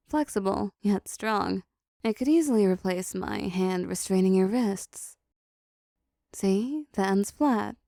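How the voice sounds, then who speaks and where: A possessive demon seductress, acted by a woman, speaks slowly and teasingly in an intimate roleplay. Her soft, mellow voice drags into a creaky fry, dominant yet doting. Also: medium pitch, no echo, clean studio sound. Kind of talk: intimate roleplay audio acting.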